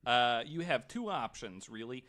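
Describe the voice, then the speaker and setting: A man's voice: medium pitch, animated, measured pace, pushed and bright. A man on a podcast panel reacting in casual, informal chat.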